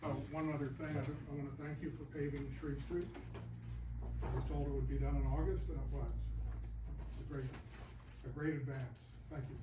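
A man resident giving a polite, measured comment at a council meeting. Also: medium pitch, little pitch movement. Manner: courteous and measured. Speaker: resident speaking at public comment. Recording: echoey meeting room, far mic, hiss.

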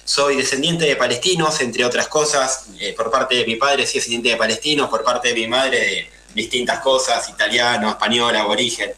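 A man in his thirties with a Buenos Aires accent explains things earnestly, pausing on fillers as he goes. His voice is bright and forward. It sounds like an echoey room with a faint hiss underneath. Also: mid-range voice, fairly even intonation. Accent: Buenos Aires Spanish. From Argentina. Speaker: guest. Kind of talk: radio interview answers.

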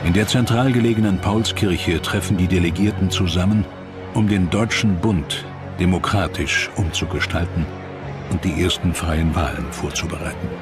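A man narrating a scripted history documentary in a measured, dramatic storytelling manner. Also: low voice. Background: music.

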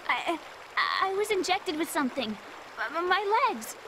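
A frightened young woman in a game cutscene. Her very high voice swings widely, distrustful and halting, with faint noise behind it. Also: very animated, full character voice. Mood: fearful. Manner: fearful, emotional, halting. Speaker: voice actor in a video game. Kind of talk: dramatic game cutscene acting.

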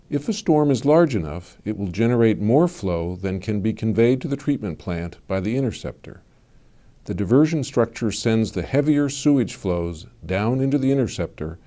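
A man giving measured, scripted voice-over narration for an explanatory video. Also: lowish voice. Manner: measured, even informational delivery.

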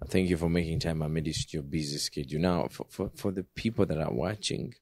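Deep-voiced Ugandan talk show host. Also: male voice, brisk, a little mellow, no echo. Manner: upbeat, switches between English and Luganda. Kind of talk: TV studio interview. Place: indoor TV studio set. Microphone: handheld mic.

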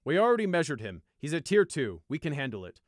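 Man as commanding fantasy guard captain, curt, forceful, unyielding, dry close sound. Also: a little high, big pitch swings, quick pace, no room sound, pitched above the performer's own voice. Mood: stern, commanding.

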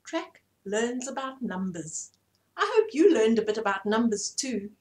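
Slow, animated woman reading to children. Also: a little high. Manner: expressive, explaining to small children. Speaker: storytime host. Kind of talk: read-aloud children's bedtime story. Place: small furnished room indoors.

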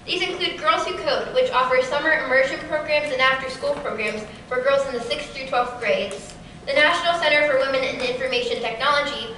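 A teenage girl giving a prepared keynote talk in a large auditorium, with a steady, rehearsed delivery. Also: high-pitched, a little hiss or hum. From Kansas City area. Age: teens. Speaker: keynote speaker. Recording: echoey hall from far off.

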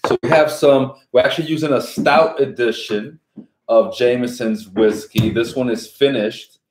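A man's voice: mid-range voice, steady tone, a little mellow, slight background noise. A middle-aged man from New York City, hosting a live home cooking stream with his wife, talking enthusiastically and chattily, picked up by a distant camera mic. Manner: enthusiastic, chatty, drawn-out asides. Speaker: cooking show host. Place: home dining room set up as a studio. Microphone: far camera mic. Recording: echoey room with kitchen clatter.

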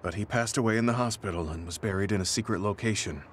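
A man's voice: lowish voice, fast talker, a little hiss or hum, lightly acted. A man voicing a stern grizzled soldier. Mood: serious. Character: stern grizzled male soldier, earnest and blunt. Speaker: game character. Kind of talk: game cutscene voice acting.

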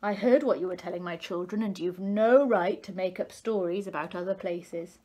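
A woman voicing a stubborn, indignant mother frog in a storybook, with a gruff voice. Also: on the low side, moderate pace, dark tone, lightly acted. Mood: scolding.

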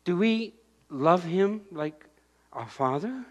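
Animated older male pastor preaching. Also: high voice, slow. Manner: animated preaching, shouting acted-out crowd voices and pointing. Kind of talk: sermon. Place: church sanctuary.

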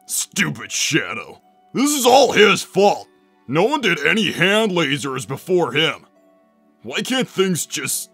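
Man acting a gravelly, grumpy echidna guardian. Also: on the high side, sing-song, takes it slowly, a bit bright, no echo, soft background music, exaggerated voice. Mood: grumbling. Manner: gruff, weary, irritable. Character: gruff, grumpy young male echidna guardian. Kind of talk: fan-made animated series voice acting.

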